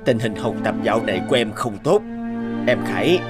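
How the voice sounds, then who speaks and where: A man voicing a stern, proud, mustached schoolteacher in an animated story, talking in a firm, lecturing way. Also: lowish voice, brisk, lightly acted. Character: stern mustached male teacher, competitive, proud. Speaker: teacher character. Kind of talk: animated fairy-tale dubbing. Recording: music bed behind voice.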